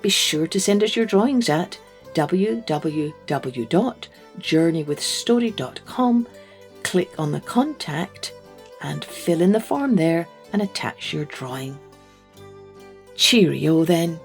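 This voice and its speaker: Female kids' podcast host, unhurried scripted outro, lowish register. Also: animated, a little mellow, faint music behind.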